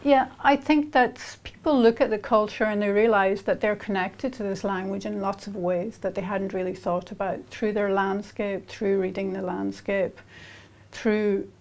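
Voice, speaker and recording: Reflective woman, flat delivery. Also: mid-range voice. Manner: measured, reflective. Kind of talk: documentary interview.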